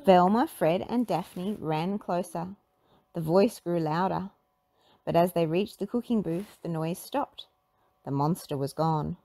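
A woman narrating a children's storybook in an animated read-aloud style. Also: on the low side, a little slow, creaky, no room sound.

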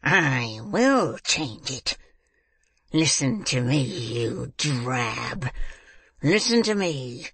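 A man voicing a crafty old criminal boss in a storybook reading, with a croaking, breathy voice and drawn-out, scheming delivery. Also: medium pitch, fairly bright tone, no room sound, exaggerated voice.

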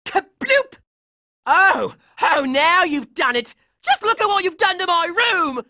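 A man's voice: extremely high pitch, very animated, slow pace, sharp tone, no room sound, studio quality, exaggerated voice. A man voicing a brash, hot-headed young boy fighter in a cartoon video game, belligerent and exclamatory. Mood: combative.

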